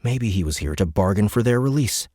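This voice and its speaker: A man with a low voice narrates fiction for an audiobook. His delivery is dramatic, scripted storytelling. The recording is close and dry, free of room sound. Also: expressive, fairly quick, mellow, no room sound.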